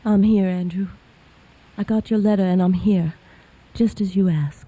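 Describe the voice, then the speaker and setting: An actress playing a weary, reserved young Southern wife, speaking politely and hesitantly in a period radio drama. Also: female voice, medium pitch, steady tone, moderate pace, warm tone, dull audio, lightly acted. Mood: wistful hope.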